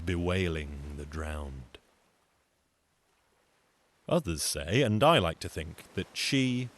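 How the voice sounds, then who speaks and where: A man telling a folktale as a storyteller, with a measured, dramatic and lyrical delivery. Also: low voice. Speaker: storyteller and folk singer. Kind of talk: theatrical folktale storytelling to an audience. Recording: music behind the voice. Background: acoustic guitar music.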